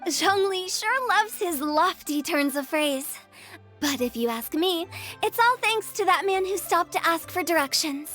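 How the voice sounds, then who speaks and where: A woman's voice: very high voice, expressive, unhurried, a bit bright, soft background music, exaggerated voice. A woman voicing a perky, cheeky teenage girl in a game dub, playful and confident. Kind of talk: English game dub voice acting.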